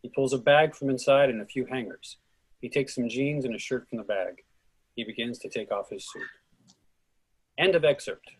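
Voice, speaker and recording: A man's voice: medium pitch, moderate pace, husky. A man reading screenplay stage directions aloud as the narrator and moderator of a table read.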